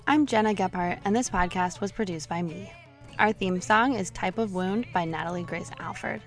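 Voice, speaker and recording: A woman podcast host reads her closing credits at a brisk, even pace. A little music sits faintly beneath her voice. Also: lowish voice. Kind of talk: scripted podcast outro.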